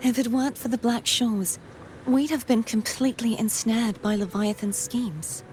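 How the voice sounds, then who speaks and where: A woman's voice: fairly high pitch, fairly even intonation, moderate pace, a little mellow, a little hiss or hum, close to an everyday voice. An actress playing a gracious, earnest young noblewoman with a formal manner in a video game. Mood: solemn gratitude.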